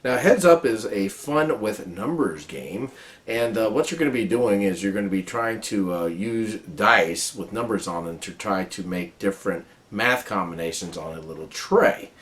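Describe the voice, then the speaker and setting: A man reviewing a board game and explaining its rules in a casual, chatty way, his voice a little raspy from a cold. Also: fairly low pitch, normal speed. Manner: relaxed, chatty explaining with many fillers. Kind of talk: casual how-to-play review.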